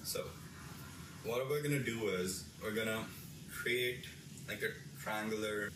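A young man giving a casual how-to demonstration, explaining step by step in a halting way as he works with his hands. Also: lowish voice, noisy background. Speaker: tutorial presenter. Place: small bedroom, indoors. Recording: roomy echo, distant mic, music behind. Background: background music.

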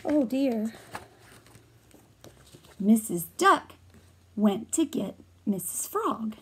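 A woman in her thirties reading a picture book aloud to children in a gentle, expressive way, explaining as she goes. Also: on the high side, drawn-out words, slight background noise. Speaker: storytime reader. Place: small furnished room at home.

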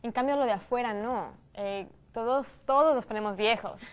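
A woman's voice: a little high, normal speed, clean tone. A young woman from Ecuador on a studio panel, friendly and reflective. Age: young adult. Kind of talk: casual discussion.

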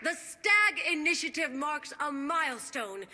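A woman's voice: high voice, sing-song, measured pace. An American woman voicing a stern, righteous senator giving an indignant, declamatory political speech in a video game. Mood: righteous indignation. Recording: echoing public-address sound, loud background noise.

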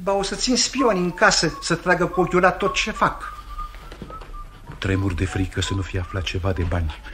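An actor playing a suspicious, irascible old miser in an old radio play, scolding and blustering. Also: male voice, fairly high pitch, animated, lightly acted. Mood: paranoid, threatening. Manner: scolding, blustering, barking insults. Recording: roomy, noisy 1950s radio recording.

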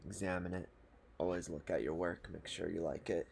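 A man calmly explaining a fishing knot step by step at a desk indoors. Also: deep voice, vocal fry, slight background noise. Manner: calm, matter-of-fact, unhurried. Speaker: fly fishing tutorial host. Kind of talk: step-by-step instructional talk. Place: small indoor room at a desk.

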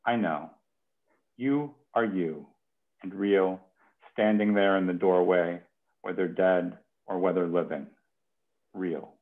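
A man's voice: sits low, slow, long pauses. A man in his thirties hosting a poetry reading from home over a headset on a video call. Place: home kitchen. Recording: muffled phone-like video call.

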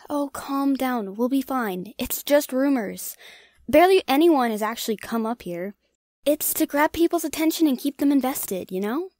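A woman's voice: high voice, animated, normal speed, a little mellow, no echo, clean studio sound. A woman acting a cocky young ghost-hunting vlogger in an audio drama, brash and teasing. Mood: showy. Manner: brash, teasing, talks herself up.

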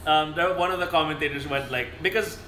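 A young man from the Philippines, co-hosting a casual live stream, animated and quick to laugh. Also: a little high. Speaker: streamer and co-host. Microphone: phone. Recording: echoey room, faint background noise.

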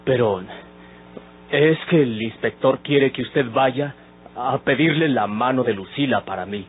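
An actor playing a respectful, earnest young son in an old radio soap. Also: male voice, medium pitch, a little slow, breathy edge. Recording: muffled, humming old radio recording.

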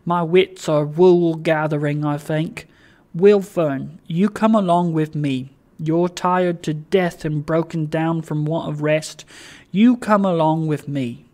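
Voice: medium pitch, takes it slowly, darkish tone, natural voice. A narrator voicing a cheery, kindly, flustered poor old father who rambles eagerly in a storybook reading. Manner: rambling, eager, stumbling over words.

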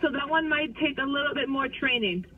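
A woman's voice: high-pitched, a little fast, recorded from a distance. Tinny phone audio, faint noise, woman, patient customer service worker, explanatory, helpful. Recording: tinny, noisy phone on car speaker.

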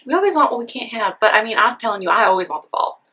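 A young woman with a very bright, rather high voice, bubbling over with enthusiasm as she chats through a try-on haul. She sounds a bit sniffly, with a sinus infection. She talks to a camera set a little way off in a room full of clothing racks. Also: lively intonation, racing, slightly rough. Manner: bubbly and gushing, many "so cute" exclamations. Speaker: fashion haul vlogger. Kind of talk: casual try-on haul chat. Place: decorated bedroom studio with clothing racks.

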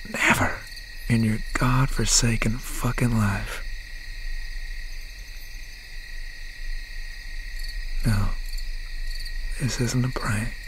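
Casting: male actor as bitter, possessive young slasher for ASMR audio drama; unhurried, half-whispered threat, mid-pitched, over cricket-filled night ambience. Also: background noise, natural voice. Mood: taunting menace. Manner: slow, breathy, half-whispered menace with pauses. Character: obsessed, bitter young slasher boyfriend, menacing serial killer. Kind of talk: ASMR roleplay, dramatic monologue. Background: crickets, insects and owl night sounds.

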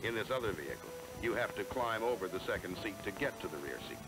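A man's voice: fairly low pitch, animated, fast, poor audio. An American man reading scripted promotional narration in a polished, emphatic salesman style, on a 1970s film soundtrack. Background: music.

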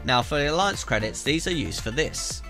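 A man sounding bunged up from a cold, talking viewers through a strategy game in a chatty, direct way. His voice is bright and forward, with faint music playing underneath. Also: a little high, expressive, moderate pace. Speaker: gaming YouTuber. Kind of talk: casual game tutorial narration. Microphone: voice-over over gameplay capture.

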